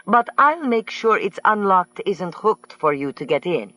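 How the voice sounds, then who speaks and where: A woman's voice: on the high side, expressive, unhurried, fairly bright tone, no echo, voice pushed up. A man voicing a fussy wealthy woman who gushes and mangles her phrasing. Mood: insistent. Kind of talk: audiobook character voice.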